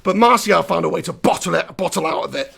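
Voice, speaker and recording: A man hosting a live post-match reaction, hoarse from losing his voice, ranting in an animated, exasperated way. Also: high-pitched, fast talker. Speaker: football fan channel host.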